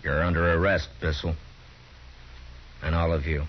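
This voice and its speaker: An actor playing a calm, stern frontier marshal who talks in a steady, measured way, in a 1950s radio drama. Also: male voice, very deep voice, slight background noise. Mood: firm. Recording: lo-fi 1950s radio broadcast with music.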